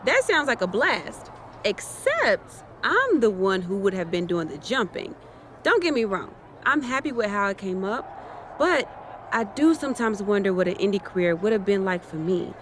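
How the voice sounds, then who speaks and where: A woman's voice: medium pitch, sing-song, moderate pace, fairly bright tone, slight background noise. A woman playing a confident, swaggering wrestling champion in a video game, brash and playful. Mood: animated, candid. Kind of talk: naturalistic video game cutscene acting.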